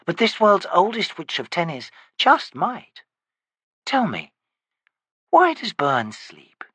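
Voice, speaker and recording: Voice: mid-range voice, huge pitch swings, takes it slowly, a bit bright, breathy edge, no room sound, voice pushed up, full character voice. A narrator voicing a wary, impatient male wizard questioning a witch. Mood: probing.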